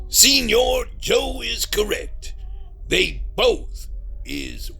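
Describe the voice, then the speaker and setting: A man playing a folksy, mock-formal rural Southern monster hunter in a comedy horror audio drama, with a gravelly, raspy voice. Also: very high-pitched, sing-song, extremely slow, pushed and bright, soft background music, heavily acted. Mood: grave storytelling.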